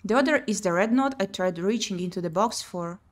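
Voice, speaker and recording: A woman streaming a visual novel playthrough, reading the text aloud and thinking out loud as she plays. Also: medium pitch, fairly quick. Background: game music.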